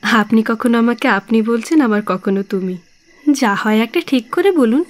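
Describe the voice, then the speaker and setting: A woman's voice: on the high side, moderate pace, natural voice. An actress playing a reserved, dutiful young woman in an audio drama, speaking in a matter-of-fact way. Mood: shy, plucky. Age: young adult.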